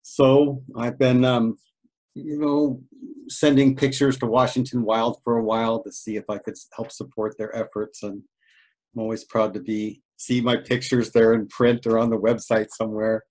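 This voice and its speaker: An easygoing man gives a modest self-introduction as a photographer. He talks on a video call in a relaxed, unhurried manner. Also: medium pitch, poor audio. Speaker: panelist photographer. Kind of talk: casual video call chat.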